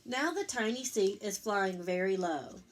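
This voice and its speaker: Woman reading a children's story aloud. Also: mid-range voice. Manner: expressive, pausing to explain and pose simple questions. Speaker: storytime reader, teacher. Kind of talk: read-aloud picture book storytelling for young children, with questions.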